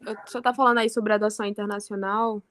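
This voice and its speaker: A woman's voice: mid-range voice, flat delivery, fast talker, fairly bright tone. A female student asking her professor a question in class, hesitating as she searches for her words.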